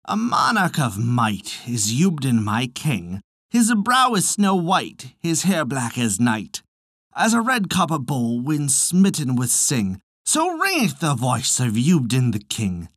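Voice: medium pitch, wildly swooping pitch, slow, a bit bright, dry recording, clean studio sound, exaggerated voice. A storyteller voicing a tiny, proud male fairy poet with a declamatory, archaic and haughty delivery. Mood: grand recital.

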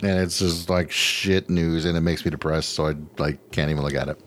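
A man's voice: low-pitched, steady tone, a little fast, slight background noise. A man co-hosting a podcast in casual, comedic chat, rambling and profane, often poking fun at himself. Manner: profane, self-deprecating, rambling. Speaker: podcast co-host.

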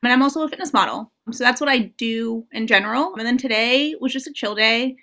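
Young female podcast guest, bright voice with plenty of vocal fry, informal conversational delivery. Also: on the high side, a little fast. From born in Morocco, grew up in the US and Morocco. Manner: informal, peppered with "like". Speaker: podcast guest, strength coach. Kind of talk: casual chat.

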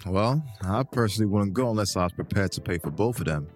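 A blunt, ribbing buddy in an audio drama, acted by a man, talks in loose slang with very lively pitch swings. He teases and dishes out advice like an old friend, with music clearly playing behind him. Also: fast, lightly acted. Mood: blunt, advising. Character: brash, teasing, advice-giving buddy playing pool. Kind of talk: casual conversational audio drama acting.

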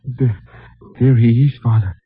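An actor playing a distraught Mexican man in 1950s radio drama, stammering anxiously in halting English. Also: mid-range voice, husky. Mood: anxious grief. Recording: muffled old radio recording.

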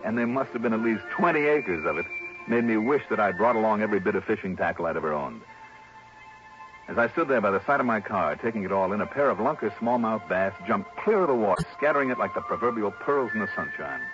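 An actor playing a wry, wary American investigator in an old radio drama, talking in a folksy, emphatic, conversational way. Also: male voice, lowish voice, animated, fast, natural voice. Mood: admiring, narrating. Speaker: lead actor and narrator. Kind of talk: 1950s-60s American radio detective acting, first-person narration and dialogue. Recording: dull vintage radio recording with music.

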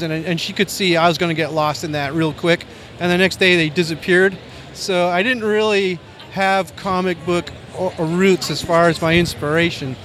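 An American man in his sixties from Maine with a soft voice, reminiscing casually and a bit ramblingly in an interview. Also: sits high. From Maine, United States. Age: 60s. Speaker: comic book artist, interview guest. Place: busy convention floor. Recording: faint convention floor noise.

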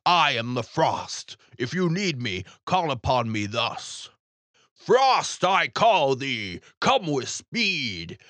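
Man playing a vigorous, deliberate old frost spirit. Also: mid-range voice, very animated, fairly bright tone, dry recording. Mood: bargaining, firm.

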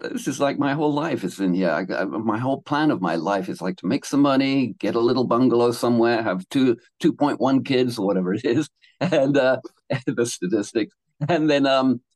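A man's voice: mid-range voice, very animated, fairly quick, darkish tone, dry recording. A man in his seventies from England, telling his life story as a guest in a casual interview, rambling with restarts and laughing between thoughts. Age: 70s.

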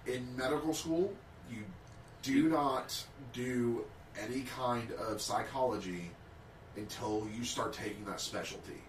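A man's voice: mid-range voice, measured pace, far from the mic, a little hiss or hum. A man co-hosting a casual podcast, reading prepared history notes aloud with small slips. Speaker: podcast co-host. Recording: roomy, off-mic sound.

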